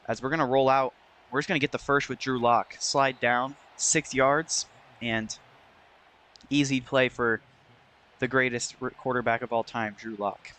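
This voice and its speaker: A man giving casual play-by-play commentary as host of a gaming video, his voice slightly hoarse. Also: medium pitch, fairly bright tone.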